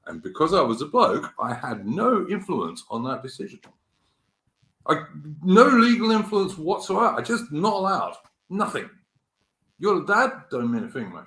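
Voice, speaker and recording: An English man in his fifties hosting a casual political chat, halting, with many fillers and restarts. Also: a little high, huge pitch swings, sharp tone, echoey room. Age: 50s. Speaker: podcast host.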